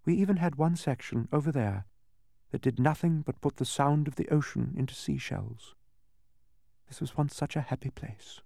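A man acts out a proud, stubborn storybook lady in a high, swooping voice. She is imperious and impatient, instructing as if she owns the place. The sound is dry and close. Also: very animated, moderate pace, darkish tone, dry recording. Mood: wistful. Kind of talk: storybook character voice.

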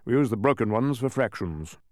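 A man's voice: mid-range voice, expressive, no echo, clean studio sound, lightly acted. A man voicing a proud, boastful wizardly mathematician in a grand, emphatic manner for a storybook. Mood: assured. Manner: bellowing, grand, slow emphatic proclamations.

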